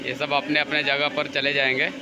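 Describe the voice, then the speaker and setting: An Indian man with a fairly high voice talks informally as he shows a workshop around for a vlog. He tends to repeat himself in simple phrases. The handheld phone recording has clear background noise. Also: monotone, a little fast. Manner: informal, repetitive narration. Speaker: vlogger showing around a factory. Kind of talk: casual walk-through vlog commentary. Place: large workshop and its yard.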